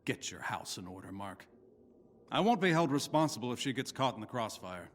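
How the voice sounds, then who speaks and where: A man plays an older, vengeful scientist for a video game, cold and contemptuous. His delivery swings widely in pitch within formal, deliberate menace, and a long reverb hangs on the voice. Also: sing-song, slight background noise, close to an everyday voice. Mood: cold threat. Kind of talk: dramatic video game voice acting.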